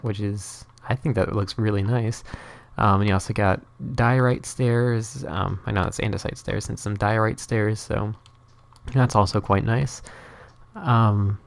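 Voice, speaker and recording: A young man whose first language is Norwegian talks through a video game in a rambling, hesitant way, stopping to restart his sentences. His voice sits a little low and has a gravelly, creaky edge. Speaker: gaming channel host. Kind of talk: casual gameplay commentary.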